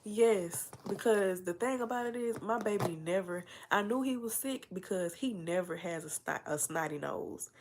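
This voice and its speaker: A woman's voice: mid-range voice, normal speed, hardly any pauses, a little mellow, a little hiss or hum. A young woman with a stuffy nose from a cold, chatting casually and rambling on a livestream. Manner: chatty, meandering, full of fillers and asides. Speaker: livestream host.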